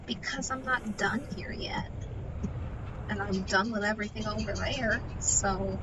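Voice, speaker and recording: A woman's voice: medium pitch, measured pace, distant mic. A woman game streamer chatting casually during a playthrough. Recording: clear background noise under voice.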